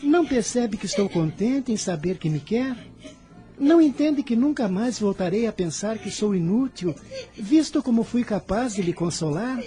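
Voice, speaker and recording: Woman as an earnest boy with a mellow voice. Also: medium pitch, normal speed, lightly acted. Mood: tender, moved. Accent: Brazilian. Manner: solemn, caring, expressive. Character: earnest, kind, pious boy apostle, gently protective. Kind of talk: radio drama acting. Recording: music under the voice.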